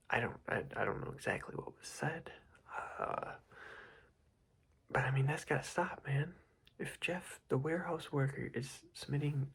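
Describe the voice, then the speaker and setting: Young male ASMR whisperer, close-miked, husky and gravelly, as a rambling casual boss. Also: medium pitch. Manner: casual, rambling scolding with many fillers. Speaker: ASMR creator playing a boss.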